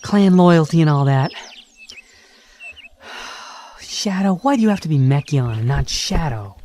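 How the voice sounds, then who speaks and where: An actor voicing an exasperated, soft-hearted animal character muttering sarcastically in an amateur radio drama monologue. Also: medium pitch, lively intonation, measured pace, slight background noise, lightly acted.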